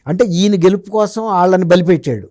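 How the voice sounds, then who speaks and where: A man speaks as a political commentator in a conversational interview style. His voice sits a little high yet is very mellow, recorded close and dry. He explains his points emphatically, often through rhetorical questions. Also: a little fast, no room sound. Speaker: journalist giving political analysis as interview guest.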